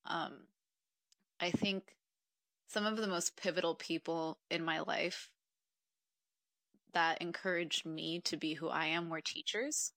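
A woman's voice: on the low side, steady tone, takes it slowly, long pauses, a bit bright, no room sound. A woman in her twenties from Mexico, a podcast guest talking earnestly and reflectively, with frequent ums. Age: 20s. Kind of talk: casual interview conversation.

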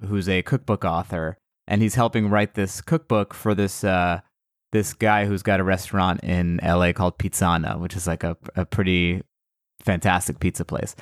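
Casual podcast guest, American man, lowish voice, dry close sound, rambling storyteller. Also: no echo. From United States. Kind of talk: casual chat.

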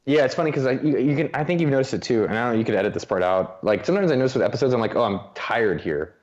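A young man chatting casually as a podcast guest, animated and self-mocking, swearing freely. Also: mid-range voice, very fast. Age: young adult. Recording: dull lo-fi audio.

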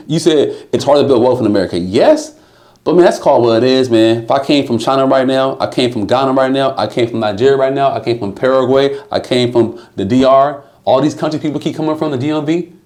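A man's voice: mid-range voice, fast, darkish tone. A man talking as a podcast guest, emphatic and motivational, often repeating words as he drives his point home. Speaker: guest, insurance business owner. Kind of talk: casual podcast conversation.

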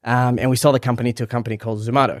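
A man's voice: mid-range voice, level tone, very fast, dry recording, studio quality. A man from Israel who moved to Australia as a teenager, chatting casually as an interview guest. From born in Israel, moved to Australia at thirteen. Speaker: interview guest, startup executive.

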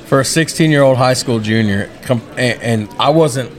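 A man's voice: medium pitch, normal speed. A man in his thirties co-hosting a podcast, chatting in a friendly, rambling way and praising his guests at a busy convention hall. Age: 30s. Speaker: podcast co-host. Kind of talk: casual interview chat. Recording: crowded event hall. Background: crowd chatter.

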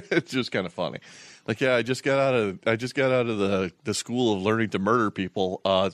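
A man's voice: lowish voice, a little fast. A man co-hosting a podcast in casual chat, rambling and self-deprecating, with many restarts. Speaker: podcast co-host.

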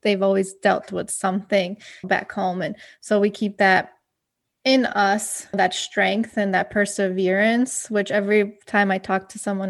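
A woman from Kosovo hosts the conversation, asking questions and offering long, reflective remarks in between. Her voice sits at an ordinary middle pitch. She sounds engaged and conversational throughout. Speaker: interviewer. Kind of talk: conversational interview.

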